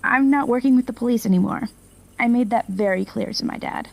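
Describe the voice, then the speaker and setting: A woman's voice: fairly high pitch, brisk, creaky, a little mellow. A young woman playing an apologetic, eager college student in an audio drama, speaking with a hesitant, friendly manner. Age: young adult. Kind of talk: naturalistic audio drama acting.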